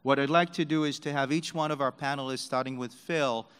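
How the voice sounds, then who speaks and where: A man's voice: medium pitch, fairly even intonation, fairly quick, fairly bright tone, smooth. A man moderating a conference panel, handing the floor to the panelists with some hesitation. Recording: reverberant conference hall.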